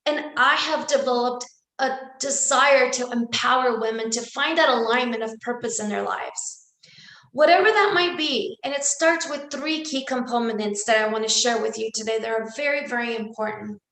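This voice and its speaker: A woman's voice: fairly high pitch, normal speed, pushed and bright. A woman from El Salvador whose first language is Spanish, giving an earnest inspirational talk and repeating words for emphasis. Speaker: speaker. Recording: echoey room.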